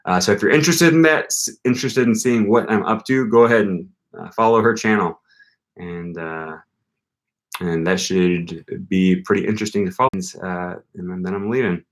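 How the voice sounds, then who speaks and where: A man's voice: lowish voice, normal speed. Laid-back young man reading live chat. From United States. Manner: casual and rambling, many ums and restarts. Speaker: language-learning channel host. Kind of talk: live stream chat, reading viewer comments. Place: home room.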